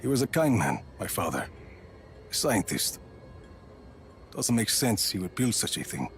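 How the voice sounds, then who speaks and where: An actor playing a rugged, cocky middle-aged action hero in a game cutscene, laconic and wry. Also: male voice, fairly low pitch, sing-song, unhurried, soft background music. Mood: brooding. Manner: laconic, wry, clipped phrases. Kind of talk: video game cutscene acting.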